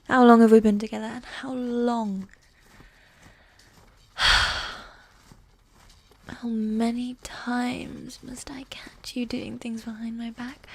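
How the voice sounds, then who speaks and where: A woman playing a possessive, condescending evil warrior queen who dotes on her spouse, speaking in soft, breathy half-whispers in a medieval ASMR roleplay. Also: fairly high pitch, a little hiss or hum. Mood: disappointed, reproachful. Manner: slow, regal, formal phrasing with sighs.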